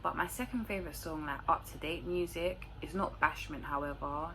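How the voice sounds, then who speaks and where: A woman's voice: low voice, normal speed, bright, forceful tone. A woman with a London accent chatting casually and informally to camera as a vlogger, using plenty of slang. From London, England. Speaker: vlogger answering viewer questions. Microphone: phone recording. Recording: clearly noisy room recording.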